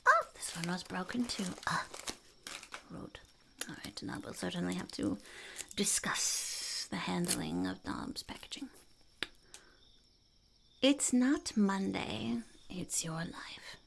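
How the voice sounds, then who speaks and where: A woman voicing a blunt, smug female goblin entrepreneur in an unhurried, breathy, gravelly half-whisper for an ASMR roleplay. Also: on the low side, very animated, fairly bright tone, exaggerated voice. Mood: grumbly, preachy. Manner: speaks of herself in third person, unhurried, blunt. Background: crinkling wrappers and clicks.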